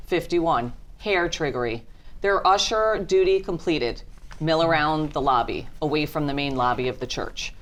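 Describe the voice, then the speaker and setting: A woman gives scripted narration, reading out stage directions at a table read. Her pitch sits a little on the low side.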